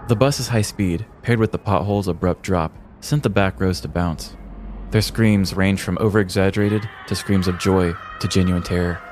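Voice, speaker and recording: An American man reading a scripted comedic story in a deliberate, theatrical storyteller's manner. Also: low voice, a little mellow, a little hiss or hum. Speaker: podcast host telling a story.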